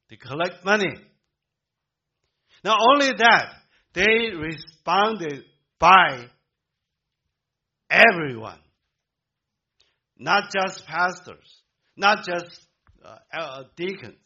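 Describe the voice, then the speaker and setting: Korea-born male pastor, slow and bright, emphatic sermon, dull lo-fi recording. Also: a little high. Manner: emphatic, with halting restarts.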